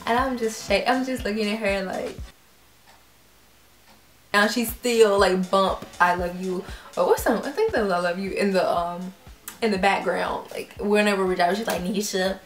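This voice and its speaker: A young woman vlogger telling a story casually, acting out her own reactions with lively quoted voices. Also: medium pitch, moderate pace. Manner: animated, acts out quoted reactions. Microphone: camera mic. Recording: roomy echo.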